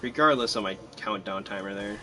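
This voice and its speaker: A man's voice: on the low side, brisk, bright, forceful tone. A man casually commentating on his live game stream, chatty and self-deprecating, thinking aloud as he plays.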